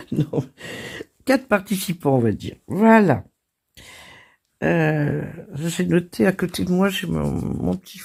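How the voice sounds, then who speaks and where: Give me a French woman with a cold, husky and slow, chatting casually about her crafts. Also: lowish voice, a little mellow. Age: older. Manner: chatty and self-deprecating, laughing and coughing. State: hoarse, has a cold. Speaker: crafting channel host. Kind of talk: casual chat.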